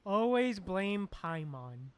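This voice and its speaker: Slow-talking, giggly woman game streamer. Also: medium pitch, very animated, clean tone. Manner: giggly, repeats phrases, chats with viewers. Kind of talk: casual livestream reaction chat.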